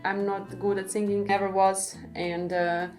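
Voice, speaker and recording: Serbian woman chatting in a relaxed vlog. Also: mid-range voice, normal speed, soft background music. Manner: relaxed and friendly, with frequent ums and light laughs. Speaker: classical guitarist answering viewer questions. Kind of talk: casual Q&A vlog.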